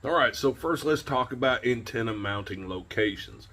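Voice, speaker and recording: A man in his forties with a husky, mid-pitched voice explains things as the host of a hands-on tips video. He is informal and plain-spoken, repeating his key points, and talks to a camera a step or two away outdoors in a driveway. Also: expressive, normal speed, pushed and bright. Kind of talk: informal instructional demonstration to camera. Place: outdoors in a home driveway beside a pickup truck.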